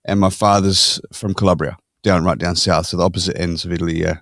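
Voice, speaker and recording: An Australian man with a fairly low voice talks through his life story as a podcast guest. He is easygoing and laughs often. The sound is close and dry. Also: flat delivery, fast, creaky, a bit bright, no echo. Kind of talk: casual interview chat.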